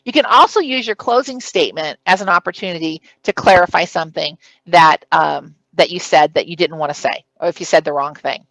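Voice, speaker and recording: A woman's voice: on the low side, brisk, few pauses, a bit bright, dry recording, rough recording. A woman presenting a conference session on interview preparation. She speaks in a strong, projected voice and lays out emphatic, numbered points. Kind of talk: recorded conference talk giving career advice.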